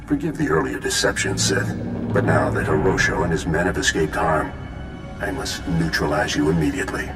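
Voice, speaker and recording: An actor playing a stern, self-righteous Jedi Master in a video game, speaking in a measured, formal and solemn way. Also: male voice, extremely low pitch, expressive, gravelly, exaggerated voice. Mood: stern, accusing. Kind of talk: video game cutscene acting. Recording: echoey voice over game music.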